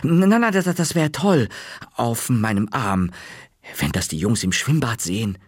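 A man's voice: medium pitch, animated, a little slow, husky, no room sound, studio quality. A man voicing a nervous, eager schoolboy who stammers, in a read-aloud storybook. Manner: stammers, pleading then eager.

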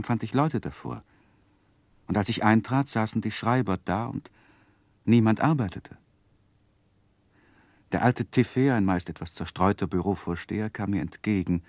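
An actor playing an earnest, lovesick young gentleman who narrates his life in a measured, introspective 1960s German radio drama. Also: on the low side, no room sound, close to an everyday voice. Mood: reflective. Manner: measured, introspective literary delivery.

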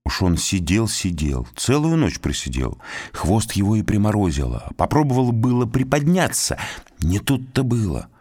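Male narrator of children's folk tales, expressive, animated, swooping intonation, lowish. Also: moderate pace. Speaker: storyteller. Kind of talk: reading a folk tale for small children.

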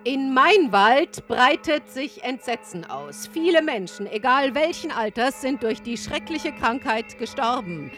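An actress playing a grave field reporter in a radio play, delivering a solemn, dramatic news report on a catastrophe. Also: female voice, high-pitched, lively intonation, unhurried, hardly any pauses, a bit bright, faint music behind. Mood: grave, urgent.

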